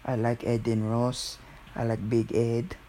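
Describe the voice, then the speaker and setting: A man's voice: mid-range voice, flat delivery, unhurried, mellow, a little hiss or hum. A man chatting casually and jokingly, rambling along in a put-on Filipino accent. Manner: jokey, often breaking into giggles.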